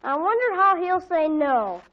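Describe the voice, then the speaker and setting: Sardonic teen girl, high voice, teasing; dull radio sound. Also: very animated, a little slow, full character voice. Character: sarcastic teenage girl friend, dry wit. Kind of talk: 1940s radio acting. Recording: dull lo-fi old radio recording.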